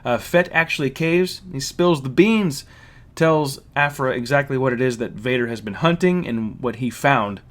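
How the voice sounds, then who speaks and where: A man presents a spoiler recap as the host of a fan channel. He speaks at a medium pitch, working through his notes in an explanatory way and dropping in casual asides. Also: normal speed.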